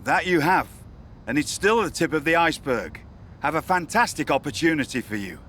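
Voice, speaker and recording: A man playing a smooth-talking, evasive wrestling show manager in a video game cutscene. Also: a little high, lively intonation, brisk, sharp tone, slight background noise. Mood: courting, pleased.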